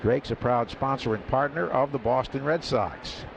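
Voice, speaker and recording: A man's voice: mid-range voice, moderate pace, creaky. Man calling baseball on radio. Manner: easygoing, chuckles while calling plays. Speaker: play-by-play radio announcer. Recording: radio broadcast with crowd noise behind.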